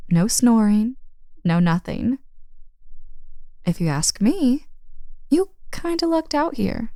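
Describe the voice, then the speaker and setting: A woman's voice: on the high side, a little mellow, no echo, clean studio sound. A woman playing a friend's friendly, teasing older sister in a casual, intimate roleplay audio drama. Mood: playful, teasing.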